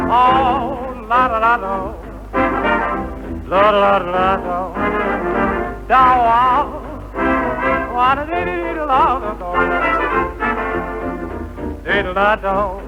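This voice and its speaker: A man's voice: extremely high pitch, highs cut off. A man performing a playful 1920s novelty band vocal with bandleader-style patter on a vintage record. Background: brass dance band.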